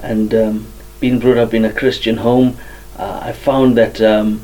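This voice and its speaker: A man from Zimbabwe tells his story as a guest, pausing often and filling gaps with ums. His voice is mellow and dark. He sits a little back from the microphone. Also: fairly low pitch, flat delivery, far from the mic, rough recording. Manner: many fillers, soft-spoken. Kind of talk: hesitant personal testimony.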